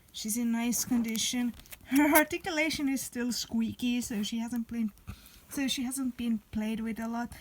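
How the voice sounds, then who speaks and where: A woman's voice: on the high side, poor audio. A young woman chatting casually to camera about a doll she got, rambling and loose. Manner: chatty, rambling with fillers and restarts. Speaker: vlogger. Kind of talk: casual doll show-and-tell.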